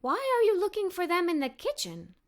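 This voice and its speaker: A woman's voice: very high-pitched, huge pitch swings, brisk, pitched above the performer's own voice, exaggerated voice. A woman voicing a curious, eager young girl adventurer in a children's story, inquisitive in manner.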